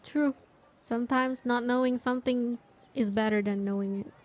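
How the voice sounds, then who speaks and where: High-voiced woman commenting on a game. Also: fairly even intonation, normal speed, darkish tone. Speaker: gaming streamer reacting to a game. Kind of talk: casual playthrough commentary.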